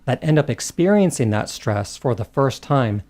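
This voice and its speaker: A man with a mid-range voice narrates a scripted video essay. He sounds measured and articulate, moving through long, carefully worded sentences. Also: mid-range voice, animated, a little mellow.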